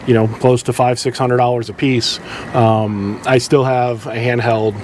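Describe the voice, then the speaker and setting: A man giving instructions in a casual, halting, conversational way, as a boat captain presenting tips. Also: mid-range voice, flat delivery, background noise. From southeastern United States.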